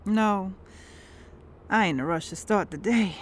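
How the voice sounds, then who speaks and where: A woman's voice: mid-range voice, measured pace, slight background noise, natural voice. A woman with an American accent playing a world-weary, defensive touring performer in an audio drama, speaking in a folksy, dismissive way. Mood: relaxed, playful. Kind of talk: naturalistic audio drama acting.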